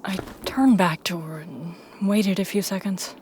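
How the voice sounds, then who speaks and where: A woman's voice: on the low side, a little slow, a little mellow, slight background noise. A woman reading scripted first-person narration for an audio drama.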